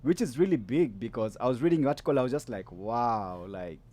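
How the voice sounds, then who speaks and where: A man hosts a casual podcast chat with a guest. His voice is mellow and relaxed, and he rambles freely with fillers and restarts. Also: medium pitch, a little fast. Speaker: podcast host.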